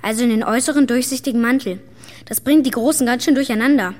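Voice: medium pitch, fast talker, darkish tone, natural voice. An actor playing a plucky, eager young boy ghost hunter in a German children's audio drama. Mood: eager, knowing. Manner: eager, explains ghost lore in detail. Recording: reverberant hall, music bed.

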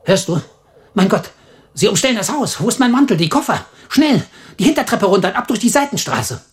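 Radio drama: scheming henpecked clerk, higher-pitched, urgent, by turns commanding and pleading. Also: male voice, very animated, a little fast, breathy edge, heavily acted. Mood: panicky. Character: nervous henpecked husband, small clerk, scheming and bossy. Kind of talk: radio play acting.

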